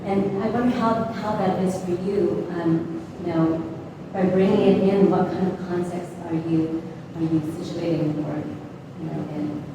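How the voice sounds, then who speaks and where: Woman with a slightly low voice, speaking as an artist in a gallery. She gropes for words and leans on "like" and "right?". She sounds far from the mic, in a hall with a long ring. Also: steady tone, measured pace. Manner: searching and hesitant, with many likes and rights. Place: gallery space, indoors. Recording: echoey hall from far off, noisy.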